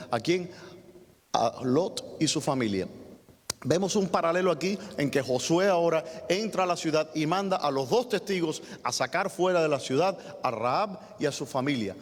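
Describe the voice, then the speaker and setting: A Cuban-accented man preaches from the pulpit. He reads scripture aloud and stops to explain it to the congregation. His mid-pitched voice rings out with a long reverb in a church hall. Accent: Cuban Spanish. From Cuba. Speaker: pastor. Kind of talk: sermon with scripture reading. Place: church sanctuary.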